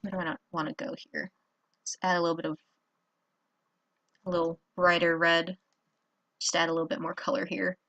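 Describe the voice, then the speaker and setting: Woman, tired, lowish voice, casual art tutorial, rambling with fillers, talking while drawing at home. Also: little pitch movement, fairly quick, creaky, pauses often, sharp tone, highs cut off. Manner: rambling, self-deprecating, many fillers.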